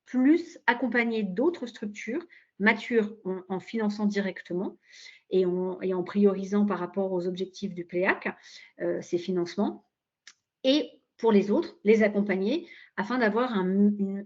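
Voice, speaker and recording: Femme hésitante, son de visioconférence terne. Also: female voice, medium pitch, a little mellow. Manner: halting, searching for words. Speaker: local government cultural policy official, panel speaker. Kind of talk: explanatory webinar presentation. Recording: dull, lo-fi online call audio.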